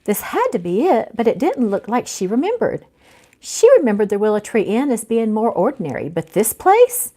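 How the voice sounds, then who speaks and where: A woman's voice: fairly high pitch, sing-song, moderate pace, warm tone. A woman speaking as an author who hosts an informal livestream, chatting with viewers and reading aloud from a novel. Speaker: author hosting a live reading of their own book. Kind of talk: informal livestream chat and read-aloud narration.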